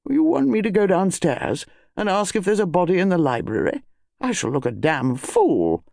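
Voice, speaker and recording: A man's voice: sits high, sing-song, moderate pace, vocal fry, a little mellow, no room sound, clean studio sound, voice pushed up, full character voice. A man playing a patronising, grumbling older English colonel and husband in an audiobook story. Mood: grumbling, indignant.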